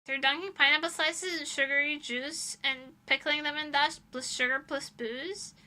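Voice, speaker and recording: A woman from Europe chatting casually on a livestream in an animated, dramatic manner. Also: high voice, normal speed. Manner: animated, dramatic exaggeration, swearing. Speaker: livestreamer, VTuber.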